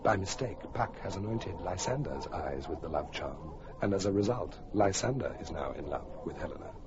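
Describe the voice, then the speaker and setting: A male narrator explains the plot in a calm, even manner. His voice is very deep. It comes over a dull, lo-fi recording with clear background noise. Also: normal speed. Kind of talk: scripted narration.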